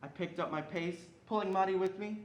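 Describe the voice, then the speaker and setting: An earnest young storyteller recalls a scared childhood in a reader's theatre piece , acted by a student reader. The voice sits at a middle pitch in a roomy, echoing space with faint noise. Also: a little fast, highs cut off, close to an everyday voice. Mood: uneasy recollection. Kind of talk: scripted dramatic narration.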